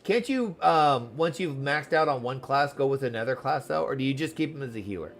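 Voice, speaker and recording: A man's voice: medium pitch, expressive, a little fast. A man giving casual game commentary as a streamer, chatty, playful and easily sidetracked. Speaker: gaming streamer.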